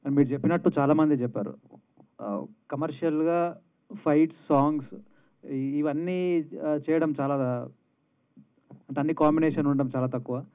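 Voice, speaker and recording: A man's voice: on the high side, moderate pace, pauses often. A man giving modest, somewhat hesitant answers as a film actor at a press event. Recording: thin, phone-like event audio.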